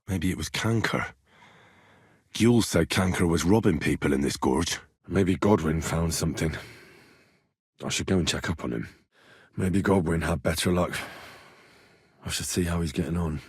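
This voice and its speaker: An actor playing an earnest, hot-headed young squire in a medieval video game, with a plain-spoken, earthy way of talking. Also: male voice, low voice, normal speed, long pauses, husky, dry recording, clean studio sound. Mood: weary, frustrated, then pondering. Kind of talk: video game character voice lines.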